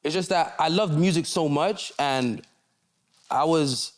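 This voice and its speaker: Laid-back young Canadian rapper. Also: male voice, a little high, unhurried. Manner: laid-back, loose, repeats "you see what I'm saying". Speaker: rapper guest. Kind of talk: casual interview chat.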